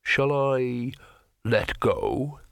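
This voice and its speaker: Man acting a slow, weary, huge sea troll. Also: mid-range voice, sing-song, gravelly, fairly bright tone, dry recording, studio quality, heavily acted. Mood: calm menace. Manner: slow, deliberate, heavy delivery.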